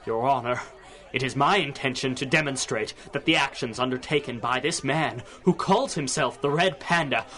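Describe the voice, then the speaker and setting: A man's voice: medium pitch, normal speed, sharp tone, lightly acted. An actor playing a vengeful, theatrical male supervillain in a radio serial, declaiming like an accusing prosecutor. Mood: oratorical. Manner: grandiose, oratorical, accusing. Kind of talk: 1930s radio serial acting.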